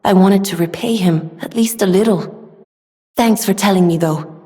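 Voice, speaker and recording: Actress doing a soft-spoken wistful young weapons smith girl for a video game, polite and earnest, big reverby hall. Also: mid-range voice, normal speed, lightly acted. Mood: sad, resigned.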